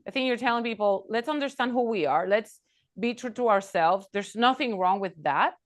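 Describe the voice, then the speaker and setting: A woman's voice: fairly high pitch, normal speed. Woman podcast host, supportive tone, restates what the guest says. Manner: affirming, sums up the guest's points. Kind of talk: conversational interview.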